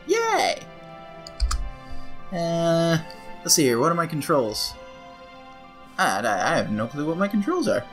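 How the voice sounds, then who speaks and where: A man's voice: a little high, huge pitch swings, slow, pauses often, a bit bright, faint music behind. A man giving casual, offhand and wry commentary while playing a video game. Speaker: let's play host.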